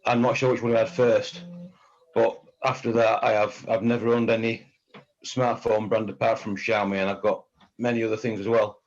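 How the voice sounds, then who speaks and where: A man chatting on a video call in a halting way, often repeating words as he tells his story. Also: lowish voice, flat delivery, dull audio. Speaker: community member. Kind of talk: casual video call chat.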